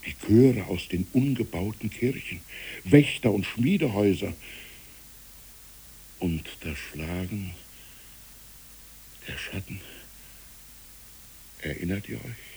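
A man's voice: fairly low pitch, slow pace, long pauses, warm tone, low-quality recording. An older man acting a fanciful, tender old village pastor in a 1960s radio play, pleading and dreamy as he rambles on to children. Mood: wistful, pleading. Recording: hissy 1960s radio recording.

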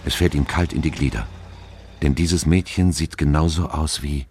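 A man acting as a grave storyteller in a surreal radio play, narrating slowly with a gravelly voice. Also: fairly even intonation, darkish tone, no room sound, exaggerated voice. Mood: solemn, suspenseful. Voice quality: very deep, gravelly. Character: grave male storyteller and questioner in a surreal myth retelling. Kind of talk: scripted narration, slow storytelling.

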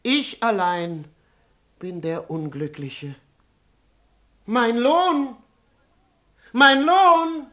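An actor playing an anxious, moralizing manservant in a classical radio play, pleading and plaintive. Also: male voice, extremely high pitch, animated, extremely slow, pauses often, pushed and bright, full character voice. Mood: wailing, lamenting.

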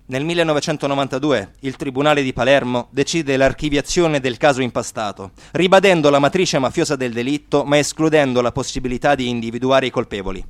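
A man narrates a radio theatre piece in a medium-pitched voice. His delivery is scripted and theatrical, swinging between hushed and emphatic phrasing. The recording is close and dry. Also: brisk, hardly any pauses, dry recording.

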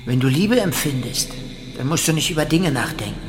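An actor playing a wary, fervent commune guru and ex-convict, preaching in a declamatory radio drama monologue. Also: male voice, on the high side, lively intonation, a little fast, over music, natural voice.

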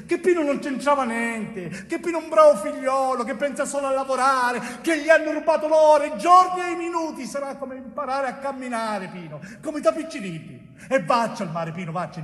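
A man's voice: extremely high pitch, fairly bright tone, voice pushed up. A male actor playing an anguished, tender, worn-down mother in a theatre monologue. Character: anguished old Southern Italian mother, tender, bewildered, worn down. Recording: echoey theatre hall with faint noise.

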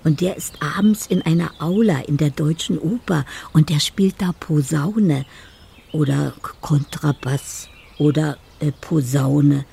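An actress playing a dreamy older woman lost in poetic fantasy and memories in a radio drama, speaking slowly and haltingly. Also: deep voice, fairly even intonation, creaky, dark tone, breathy edge, exaggerated voice. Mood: dreamy, reminiscing. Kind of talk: radio drama monologue, reading out love letters.

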